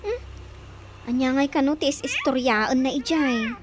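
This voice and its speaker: A woman's voice: high-pitched, big pitch swings, a little slow, background noise. A female actor playing a chatty, teasing village wife in a radio drama, gossipy and exclaiming, with an Ilocano accent. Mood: curious, amused. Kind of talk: Filipino radio drama acting.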